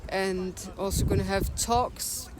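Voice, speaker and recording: Earnest Scottish-accented woman, loud street noise. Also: medium pitch, fairly even intonation, slow. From Scotland and Denmark. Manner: earnest, reflective, restarts often. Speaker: interview guest. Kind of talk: candid conversational interview. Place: outdoors by a city river. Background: traffic and city ambience.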